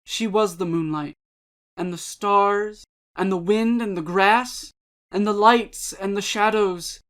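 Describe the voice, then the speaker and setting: Female reader for dramatic literary pieces. Unhurried, measured, theatrical delivery, medium pitch. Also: fairly bright tone. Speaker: channel host and reader. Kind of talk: dramatic poetry and prose reading.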